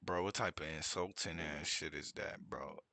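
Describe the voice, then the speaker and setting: Man, very deep gravelly voice, bright edge, dry close sound, blunt slangy reaction talk. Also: fairly even intonation, moderate pace, no echo. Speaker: streamer reacting to news clips. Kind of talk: casual reaction commentary.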